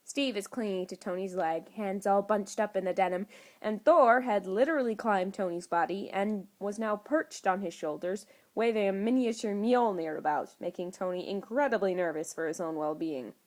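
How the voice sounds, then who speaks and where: A woman's voice: mid-range voice, moderate pace, a little mellow. Woman narrating a story. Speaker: audiobook reader. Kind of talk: scripted fan fiction narration.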